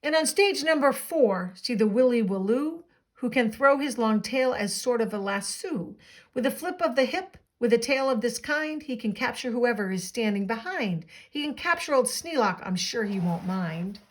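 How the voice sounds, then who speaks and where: Woman acting an eager high-voiced boy ringmaster. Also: moderate pace. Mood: showy, proud. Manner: excited barker-like proclaiming in rhyme. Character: eager young boy, boastful circus ringmaster and showman.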